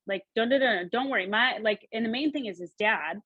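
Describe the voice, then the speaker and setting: A woman working as a psychic medium, relaying messages in a halting, repetitive, animated way. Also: on the high side, dry recording, narrow, tinny audio. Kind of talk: spoken reading, relaying messages in quotes.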